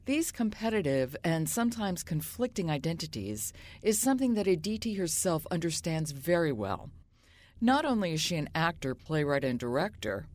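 A woman's voice: low voice, a little mellow. A woman host reading a scripted introduction to an arts interview. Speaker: radio host.

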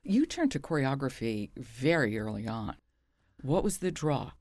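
A woman's voice: very deep voice, very animated, unhurried, a little mellow, no echo. A woman asking questions as an interviewer, in a conversational style.

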